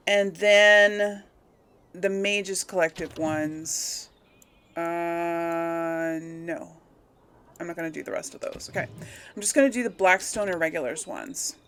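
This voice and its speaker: A woman's voice: lowish voice, slow pace, pauses often, fairly bright tone, a little hiss or hum. A woman hosting a let's play, talking informally to her viewers and thinking aloud as she goes. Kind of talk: casual gaming commentary to viewers.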